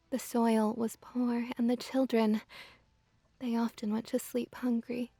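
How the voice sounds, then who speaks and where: A woman voicing a gently dominant, teasing kitsune witch in an intimate ASMR roleplay, speaking softly and close, often near a whisper. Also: fairly high pitch, no echo. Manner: soft, breathy, slow cooing delivery, often near whisper. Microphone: close ASMR microphone.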